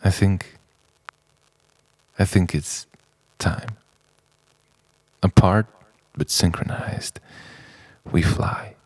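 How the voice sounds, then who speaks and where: A man narrating an introspective spoken-word monologue in halting, musing phrases full of rhetorical questions. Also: sits low, darkish tone, dry recording, rough recording. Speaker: narrator of a personal art film.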